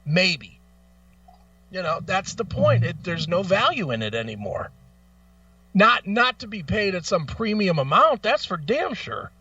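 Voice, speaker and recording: A man with a high, bright voice delivers an opinionated talk show rant. He is blunt, profane and emphatic, firing off rhetorical questions. The audio is dry but a little dull and lo-fi. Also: very animated, a little slow, no room sound, far from the mic.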